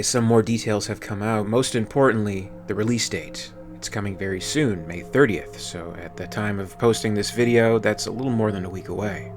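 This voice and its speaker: A man's voice: on the low side, slight background noise. A man hosting a video channel, delivering scripted commentary.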